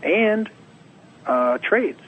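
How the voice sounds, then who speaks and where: A young adult man, a comics writer being interviewed, slightly hoarse, talking in a rambling, animated way and acting out quotes. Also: sits high, drawn-out words, narrow, tinny audio, a little hiss or hum. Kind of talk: casual interview.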